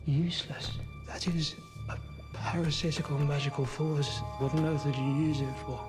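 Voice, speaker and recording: An actor playing an awkward, earnest young wizard, insistent in manner, in a film scene. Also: male voice, on the high side, fairly even intonation, moderate pace, music in the background, lightly acted. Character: awkward, earnest young British wizard who protects creatures. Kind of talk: film dialogue in a game cutscene. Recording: film score behind the voice.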